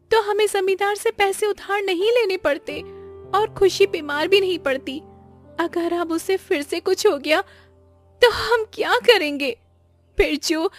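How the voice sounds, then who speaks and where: A woman's voice: extremely high pitch, voice pushed up. A woman voicing an anxious, pleading young village wife and mother in a cartoon story.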